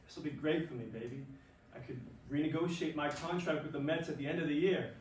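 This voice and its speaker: An actor playing an eager young ballplayer with an earnest, placating manner in a staged play reading. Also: male voice, a little high, fast, slight background noise, close to an everyday voice. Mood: placating, dreamy. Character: eager, nervous young male ballplayer, sweet-talking boyfriend. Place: performance room, recorded from a distance. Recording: very echoey room, distant mic.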